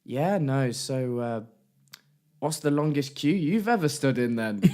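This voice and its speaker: A man's voice: mid-range voice, animated, measured pace, a little mellow. A young man co-hosting a podcast, chatting in a rambling, laughing way. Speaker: podcast co-host. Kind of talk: casual chat.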